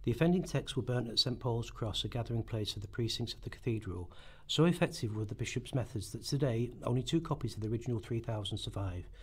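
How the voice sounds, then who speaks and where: Fast, husky male narrator. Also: mid-range voice, a little mellow. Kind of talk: scripted history narration for a radio play.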